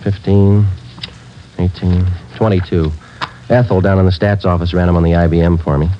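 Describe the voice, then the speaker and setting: Guy playing a flat no-nonsense cop narrating, real low voice, dull hissy old radio sound. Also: moderate pace, natural voice. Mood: clipped. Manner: clipped, flat, matter-of-fact. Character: terse male police detective sergeant narrating. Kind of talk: 1950s radio drama acting. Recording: dull old radio transcription with faint hiss.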